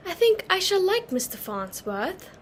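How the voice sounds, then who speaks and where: A woman playing a hopeful, gentle young society daughter in a dramatic reading of a stage comedy. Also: very high-pitched, sing-song, unhurried, slight background noise, exaggerated voice. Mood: pleading, hopeful.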